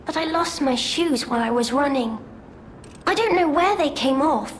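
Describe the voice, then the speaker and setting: A woman voicing a naive, wistful doll girl in a video game, pleading softly and speaking of herself in the third person. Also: sits high, expressive, normal speed, slight background noise. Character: childlike wistful doll girl longing for freedom. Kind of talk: scripted video game character acting.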